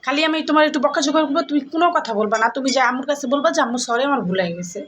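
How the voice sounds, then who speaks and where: A woman playing a young husband caught between his wife and mother, pleading softly then putting on anger. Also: extremely high pitch, rapid-fire, echoey room, slight background noise. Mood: whispering. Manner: hushed pleading asides, then loud fake scolding. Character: young husband, meek mama's boy, scheming. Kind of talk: comedy skit acting.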